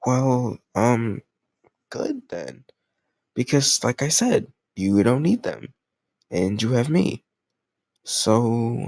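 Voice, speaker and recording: A man's voice: medium pitch, creaky, a little mellow, dry recording, clean studio sound. A man playing a lovesick, possessive yet awkwardly doting kidnapper in an intimate roleplay audio drama, talking straight to the listener with rambling reassurance.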